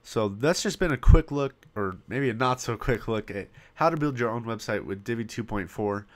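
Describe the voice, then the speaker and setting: A man's voice: medium pitch, expressive. A man with a voice he is losing walks through building a website step by step, in a casual screen-recording tutorial, coughing now and then into a popping desktop mic. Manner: casual step-by-step narration with frequent pauses. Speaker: web design tutorial host. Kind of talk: screen-recorded software walkthrough.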